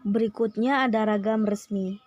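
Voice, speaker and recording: A woman's voice: medium pitch, steady tone, moderate pace, a little mellow, lo-fi sound. A young woman presenting a school assignment, steadily reading through a list of lesson points. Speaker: student presenter.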